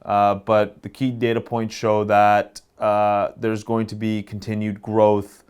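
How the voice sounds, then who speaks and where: A man's voice: fairly low pitch, monotone, slow, a little mellow, smooth. A man co-hosting a business podcast, easygoing and jokey as he thinks his answers through aloud. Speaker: podcast co-host, mortgage professional. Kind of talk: casual podcast chat.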